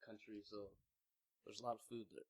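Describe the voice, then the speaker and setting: A man's voice: fairly low pitch, flat delivery, a little fast, darkish tone. A man in his mid twenties from Vietnam, telling his story in a casual interview with lots of fillers and restarts. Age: mid 20s. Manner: rambling, heavy use of "like", frequent restarts. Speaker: interviewee, young clothing brand founder.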